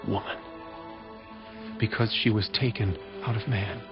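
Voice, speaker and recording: A man's voice: lowish voice, fairly even intonation, measured pace, lightly acted. An actor playing the first man, reverent and wondering, in a dramatized scripture reading. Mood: awed. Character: Adam, newly made first man, reverent. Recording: dull audio under loud music.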